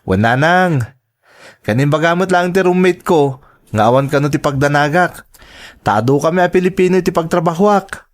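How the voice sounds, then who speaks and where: A man's voice: a little high, moderate pace, pauses often, close to an everyday voice. A man playing an affectionate, earnest adult son working abroad, in a dramatic radio-style performance. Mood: affectionate, upbeat.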